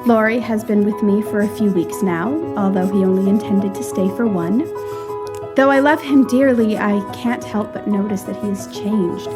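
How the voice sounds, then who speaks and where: A woman playing a refined, affectionate young lady abroad in a period audio drama, with an animated, formal way of talking. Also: mid-range voice, moderate pace, over music, close to an everyday voice. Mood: fond, concerned. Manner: animated, genteel, formal phrasing.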